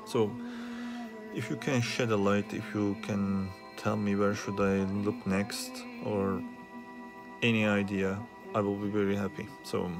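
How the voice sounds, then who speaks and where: Halting, low-pitched man with a creaky voice. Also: little pitch movement, music in the background. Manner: halting, with frequent pauses and hesitations. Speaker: hobbyist repairer asking viewers for help. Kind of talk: unscripted troubleshooting demonstration. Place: small indoor workspace at a repair bench.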